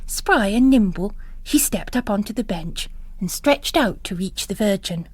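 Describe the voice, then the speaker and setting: A woman's voice: mid-range voice, lively intonation, a little slow, a little mellow, no room sound. A woman reading literary fiction as a narrator, in a dramatic audiobook style.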